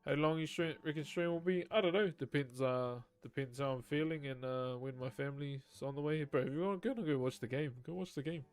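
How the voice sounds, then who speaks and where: Loud-voiced New man reading aloud. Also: on the high side, a little fast, hardly any pauses, smooth, faint music behind. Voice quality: naturally loud voice. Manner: casual, swears freely, chats with viewers between passages. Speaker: live streamer. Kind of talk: reading a novel aloud while chatting with viewers. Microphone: desk microphone.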